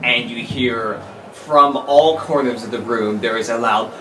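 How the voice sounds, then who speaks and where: A man's voice: mid-range voice, unhurried, heavy background noise. A man narrating an improvised tabletop role-playing game as game master. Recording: roomy, distant mic, music underneath.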